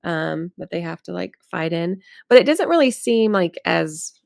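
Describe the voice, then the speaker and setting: A woman with a fairly low, noticeably creaky voice talks casually as a podcast co-host. She is informal and giggly, leaning on filler words, and the sound is close and dry. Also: lively intonation, normal speed, no room sound. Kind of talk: casual chat.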